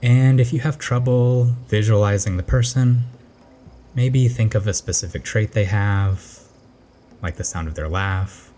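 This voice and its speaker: Male meditation narrator, low register and warm dark tone, gentle and unhurried delivery, faint noise behind the voice. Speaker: meditation guide.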